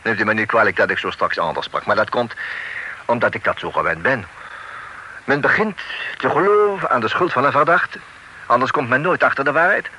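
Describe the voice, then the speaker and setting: A man's voice: mid-range voice, expressive, brisk, bright, forceful tone, low-quality recording. A man playing a wry French-accented official. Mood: reassuring. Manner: dry, knowing, chuckles, sprinkles French words. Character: worldly French-speaking male official, wry. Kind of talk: radio play acting. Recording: old radio recording with faint hiss.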